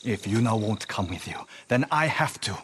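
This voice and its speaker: An actor playing a loyal young commoner in a video game, earnest, anxious and deferential, pleading yet brave. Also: male voice, fairly low pitch, expressive, normal speed, noisy background, lightly acted. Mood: earnest resolve. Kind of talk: dramatic video game acting.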